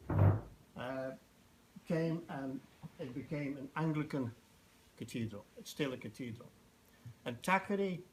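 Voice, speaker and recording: Older dutch guy doing a casual talk, kinda halting and anecdotal, some background noise. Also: a little high, low-quality recording. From Netherlands. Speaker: festival organiser giving a presentation. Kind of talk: informal public talk.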